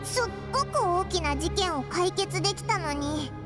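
A woman voicing a tiny, excitable fairy companion who talks bluntly with boyish endings, as a game character. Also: very high voice, moderate pace. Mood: chirpy. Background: game music.

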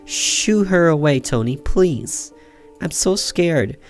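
A man puts on a scared little girl from a horror game, pitched well up. She is timid, whiny and pleading, with faint music behind. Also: animated, slow.

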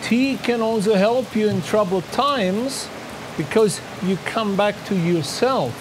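A man in his fifties with a German accent, talking calmly and reflectively about tea in a documentary. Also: sits high, background noise. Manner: calm, unhurried, reflective. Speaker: tea master. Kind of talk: documentary narration and on-location talk.

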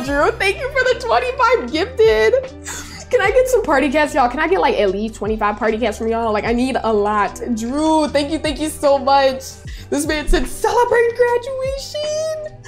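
A young woman in her twenties from the Chicago area, chatting casually on a live stream, effusive and quick to squeal with excitement as she reads messages from viewers. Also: high-pitched. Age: 20s. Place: bedroom streaming setup, indoors.

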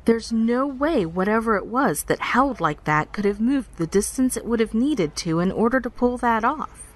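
A woman playing an apologetic, self-conscious young park ranger who speaks haltingly in a naturalistic podcast drama. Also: a little high, expressive. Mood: shaken, confiding. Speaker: actor in audio drama.

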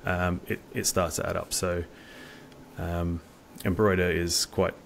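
Very low, creaky-voiced man. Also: little pitch movement, unhurried, a little hiss or hum. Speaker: staff software engineer, interview guest. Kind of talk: informal technical interview talk. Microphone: video call, wireless earbuds.

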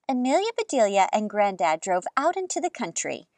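A woman in her thirties hosting a children's story time, reading and chatting with expressive, exaggerated enthusiasm. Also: medium pitch, brisk, no room sound.

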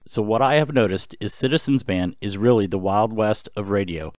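A man narrating an explainer in a calm, explanatory way. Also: lowish voice, moderate pace, dry recording, studio quality. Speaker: host. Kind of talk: scripted narration.

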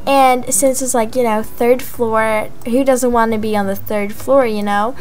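A woman narrating a roleplay house tour in a casual, gushing manner, with excited exclamations. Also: on the high side. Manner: gushing, breathy gasps of amazement, drawn-out exclamations. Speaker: gaming video creator. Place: voice-over of gameplay, music behind.

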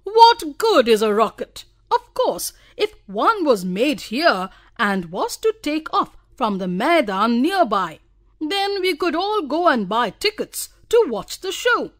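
A woman voicing a loud, mocking man from a village tea circle, scoffing at others. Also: high voice, sing-song, takes it slowly, no echo, studio quality, full character voice.

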